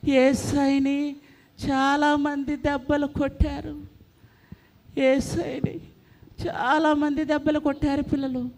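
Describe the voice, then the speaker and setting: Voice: high voice, flat delivery, noisy background. A performer voicing a friendly, earnest boy puppet, speaking to children in a church show and repeating phrases. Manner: slow, repetitive, addressing children directly.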